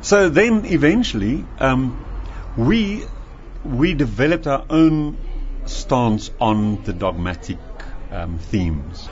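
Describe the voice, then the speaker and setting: Male guest author; reflective, halting, animated pitch; slightly muffled radio sound. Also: slight background noise. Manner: thoughtful, searching for words, repeats himself. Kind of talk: reflective interview talk.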